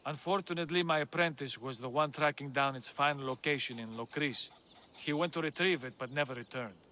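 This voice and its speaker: An actor playing a courteous, anxious scholar who talks earnestly in a video game. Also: male voice, medium pitch, fairly quick, bright, forceful tone, slight background noise, close to an everyday voice. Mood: worried. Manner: polite, earnest, worried.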